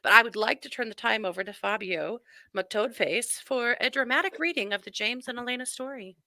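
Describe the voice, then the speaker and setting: Bright-voiced woman hosting, wry and casual. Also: on the high side, fairly quick, dry recording. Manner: wry, mocking. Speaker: host. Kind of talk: casual true crime commentary.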